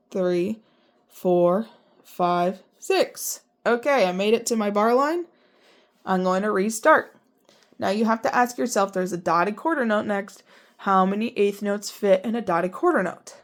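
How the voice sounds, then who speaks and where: Woman, stuffy congested voice, music teacher, counts rhythms in strict even syllables, slow and steady. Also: mid-range voice. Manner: chatty and self-deprecating between counts. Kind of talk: homework lesson, counting rhythms aloud.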